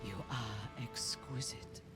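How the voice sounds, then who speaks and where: Slow, imperious dark elf warrior. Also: female voice, extremely low pitch, fairly even intonation, over loud music. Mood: adoring. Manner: imperious, seductive, deliberate. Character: fierce, commanding female dark elf warrior lover. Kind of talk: video game character acting.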